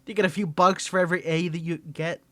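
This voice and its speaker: Man, fairly high voice, dry booth sound: stammering, flustered, bossy roleplay rival talking straight to the listener. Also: steady tone, quick pace, bright, forceful tone, no echo. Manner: flustered, stammering, defensive grumbling; bossy, scolding outbursts. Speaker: ASMR roleplay performer. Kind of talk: ASMR roleplay, speaking to the listener.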